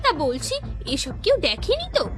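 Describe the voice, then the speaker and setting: A woman's voice: extremely high pitch, huge pitch swings, normal speed, a bit bright, soft background music, heavily acted. A woman voicing a discontented, scheming young wife in a cartoon story, coaxing and complaining in a coy way. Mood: scheming, urgent. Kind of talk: animated cartoon story dialogue.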